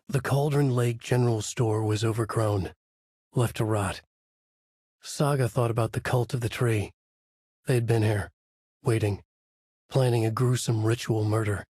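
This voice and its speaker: An actor playing a brooding, haunted male novelist who narrates his dark horror thriller in a measured, grave, husky voice for a video game. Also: lowish voice, dry recording, clean studio sound. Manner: measured, grave, dramatic delivery. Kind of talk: scripted noir horror narration.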